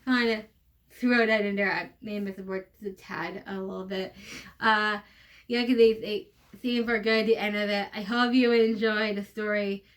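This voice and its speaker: A woman from the United States reading her play and stage directions informally, in a halting, self-correcting way. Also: medium pitch, steady tone, far from the mic. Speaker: writer and reader of own play.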